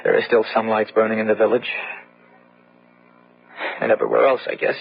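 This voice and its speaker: An actor playing a steady, protective survivor of a plague, halting yet earnest and reassuring in a gothic radio drama. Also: male voice, on the low side, creaky, soft background music. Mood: weary hope. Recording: muffled, noisy old radio drama.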